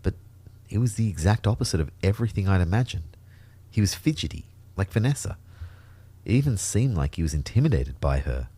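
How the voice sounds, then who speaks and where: A male actor plays an observant, self-doubting storyteller in an audio drama. His voice is deep and relaxed, recorded very dry, with a measured, reflective delivery. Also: husky, no room sound, exaggerated voice. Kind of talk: first-person audio drama narration.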